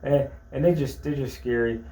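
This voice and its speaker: A man co-hosting a sports talk show, stumbling and restarting as he argues his fan opinions. His voice sits in an ordinary middle pitch. The room gives it a noticeable echo. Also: normal speed, dark tone, a little hiss or hum. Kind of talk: casual sports chat.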